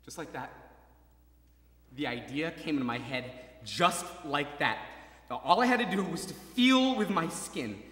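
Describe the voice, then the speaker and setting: An actor playing a maimed young soldier, desperately hopeful, in a halting, intense dramatic monologue that moves between murmurs and cries. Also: male voice, on the high side, lively intonation, pushed and bright. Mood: tense, hushed. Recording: reverberant hall, stage performance.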